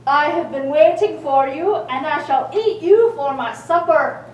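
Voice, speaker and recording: A greedy troll from a children's puppet show, acted by a woman, declares each line slowly and with menace. The voice is high for a woman and comes from off the mic in an echoey room with faint noise. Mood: menacing. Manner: slow, drawn-out, booming declarations. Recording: roomy, distant mic, faint background noise.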